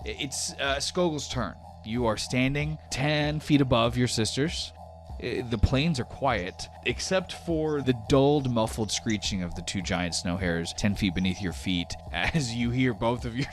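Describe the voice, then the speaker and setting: A man's voice: medium pitch, lively intonation, unhurried, faint music behind. A man narrating a tabletop game as game master, in a casual, descriptive way that mixes storytelling with rules talk. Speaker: game master of a tabletop role-playing podcast.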